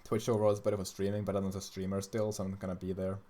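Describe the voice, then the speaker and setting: A young man from Sweden with a slightly low voice chats loosely on a stream, answering questions in a blunt, sweary, worn-out way. His first language is Swedish, and he talks like someone hanging out with his audience. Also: completely flat delivery, rapid-fire, mellow, slight background noise. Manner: blunt, profane and tired. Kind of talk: casual livestream chat.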